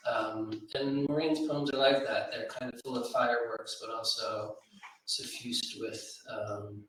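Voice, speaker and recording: Heard from a distance in a heavily echoing room, a man comments on literature off the cuff. His mid-pitched voice halts and circles as he searches for the right words. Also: fairly even intonation, fairly bright tone, a little hiss or hum. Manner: hesitant, halting, searching for words. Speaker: literary event speaker. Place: reading room at a live literary event. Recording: very echoey room, distant microphone.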